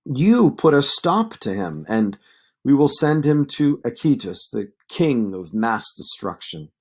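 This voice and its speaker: A man's voice: medium pitch, very animated, a little slow, slightly rough, voice pushed up, exaggerated voice. A man voicing rowdy, scornful young suitors exclaiming in an epic read aloud. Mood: gleeful, scornful. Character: crowd of rowdy young suitors, astonished, gleeful.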